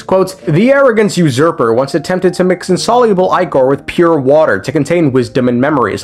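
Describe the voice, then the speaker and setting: A man narrating a story recap of game lore as casual commentary, irreverent and slangy, swearing freely. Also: fairly high pitch. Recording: music under the voice. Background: background music.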